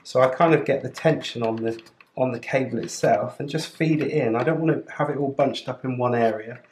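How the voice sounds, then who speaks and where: A man's voice: mid-range voice, fairly quick, a little mellow. A man giving a chatty, informal step-by-step tutorial while working with his hands. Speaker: presenter of a motorcycle gear channel. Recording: roomy indoor sound, clear background noise, clicks.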